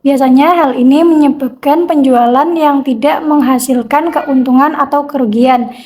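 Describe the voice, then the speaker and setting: A woman's voice: sits high, moderate pace, darkish tone. A young woman reading a prepared lesson as a student presenter. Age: young adult. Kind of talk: scripted lesson narration read aloud.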